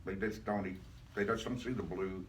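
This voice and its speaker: An older man with a deep voice talks casually as an interview guest. He is folksy and repeats phrases for emphasis, sitting a bit far from the mic with faint noise. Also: steady tone, moderate pace. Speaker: interview guest, retired program founder. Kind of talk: casual conversational answers.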